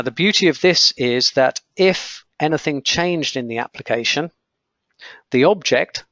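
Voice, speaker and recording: A man with a croaky voice, teaching a live webinar on software testing, explaining as he clicks through screens with frequent okays and ums. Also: medium pitch, no room sound, highs cut off.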